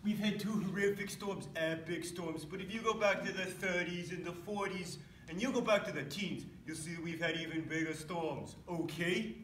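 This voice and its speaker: A man's voice: high voice, steady tone, normal speed, a bit bright. A man playing a blustering, dismissive president who talks in emphatic, repetitive, folksy phrases. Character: blustering, dismissive male president denying climate change. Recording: echoey room, distant mic, faint noise.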